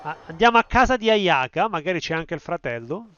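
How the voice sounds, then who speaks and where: A man's voice: sits high, expressive, quick pace, smooth, dry recording, muffled recording. A man from Italy commentating casually over a video game, thinking aloud. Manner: thinking aloud, mumbling, uneven loudness. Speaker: streamer.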